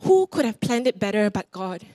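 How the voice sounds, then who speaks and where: A woman's voice: mid-range voice, moderate pace, no echo, studio quality. A woman in her thirties with a Singaporean accent, preaching a sermon on a church stage into a handheld mic. She moves about and gestures as she tells personal stories, and she repeats phrases for emphasis. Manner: animated, roams the stage gesturing. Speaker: guest preacher. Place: church stage before a congregation.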